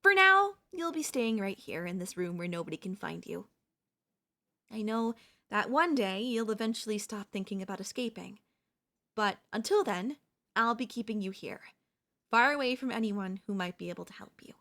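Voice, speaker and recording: A woman playing an obsessive female pop idol turned kidnapper in an ASMR roleplay, speaking in a soft, coaxing, doting way with menace underneath. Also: mid-range voice, lively intonation, normal speed. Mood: calm, menacing.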